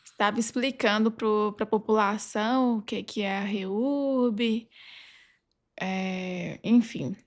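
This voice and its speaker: A woman with a Brazilian accent speaking as a guest in a conversational podcast interview, haltingly piecing her sentences together. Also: mid-range voice. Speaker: legal adviser, podcast guest.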